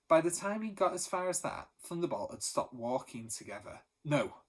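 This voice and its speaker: Husky-voiced man reading a story. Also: on the high side, normal speed. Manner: steady, expressive reading, voice lifting for the characters. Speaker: teacher reading to pupils. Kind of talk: storybook read-aloud.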